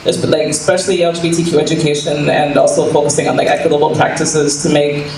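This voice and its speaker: Higher-voiced man in an echoey room. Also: flat delivery, fast, a little mellow. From Canada. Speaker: panelist, equity education consultant. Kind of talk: informal self-introduction at a panel. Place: indoor public panel event. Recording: echoey room, distant mic, noisy.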